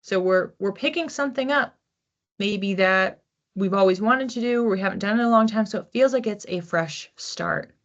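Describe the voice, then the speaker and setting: A woman's voice: medium pitch, normal speed, a bit bright, highs cut off. A woman hosting a psychic card reading in a casual, conversational way, thinking aloud with informal phrasing as she addresses her audience. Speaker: psychic reader hosting a weekly collective reading.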